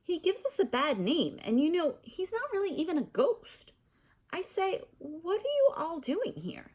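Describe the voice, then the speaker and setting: Storybook ghost, male, pompous and indignant, done by a female narrator, raised pitch, animated exclamatory delivery. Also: measured pace, exaggerated voice.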